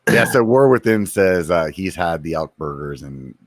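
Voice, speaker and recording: A man's voice: low voice, animated, normal speed, no echo, studio quality. A man in his forties, hosting a casual podcast chat, joking and acting out his own food cravings. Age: 40s. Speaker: podcast host.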